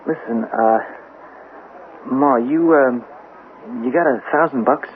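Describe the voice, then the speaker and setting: An actor playing a brash, restless young son and former football star in a 1970s radio drama, slangy, eager and wheedling. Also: male voice, medium pitch, slow, natural voice. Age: young adult. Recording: muffled phone-like old radio, faint hiss.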